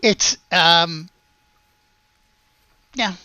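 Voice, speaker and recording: A woman running a tabletop game as game master, casually describing things in a halting, self-correcting way. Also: on the low side, pushed and bright, no echo, lo-fi sound.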